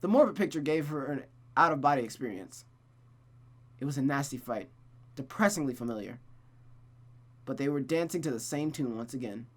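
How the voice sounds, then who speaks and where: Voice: medium pitch, animated, fairly quick, pauses often. A streamer narrating a visual novel aloud, with casual commentary.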